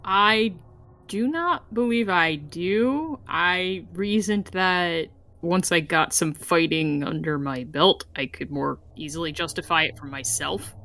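A woman's voice: on the low side, slow pace, a bit bright. A woman playing a tabletop game with friends, chatting casually and joking wryly. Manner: wry, joking.

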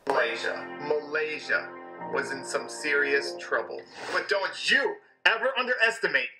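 A man narrating an online explainer video in an energetic, emphatic way, played back from the video. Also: fairly high pitch, moderate pace. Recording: played through speakers with background music.

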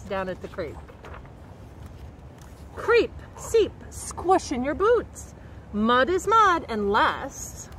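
A middle-aged woman reading a picture book aloud to young children in an expressive, carefully enunciated way, recorded outdoors. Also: high-pitched. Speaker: storybook reader and nature educator. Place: outdoors by a woodland creek. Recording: outdoor background noise.

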